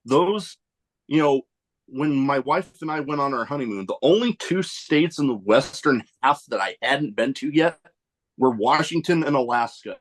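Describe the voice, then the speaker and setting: Male host, mid-pitched, easygoing, agreeable, casual podcast talk. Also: lively intonation, moderate pace. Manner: easygoing, agreeable, brief acknowledgements; navy veteran.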